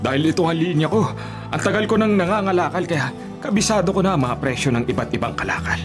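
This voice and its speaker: A man's voice: a little high, lively intonation, fast talker, over music, lightly acted. An actor with a Filipino accent playing an earnest, cautious working-class husband and father in a radio drama. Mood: assured. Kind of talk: Tagalog radio drama acting.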